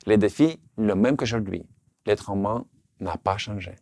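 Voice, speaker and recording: A man who speaks French, his language, giving an earnest, measured sermon. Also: fairly low pitch, creaky, dark tone, no echo. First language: Russian. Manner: earnest, measured, slight restarts on words. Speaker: preacher.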